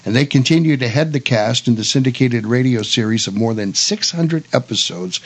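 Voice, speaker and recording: A man hosting a talk show, mixing casual chat with read notes, halting and repeating words. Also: mid-range voice, animated, rough recording. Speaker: radio show host.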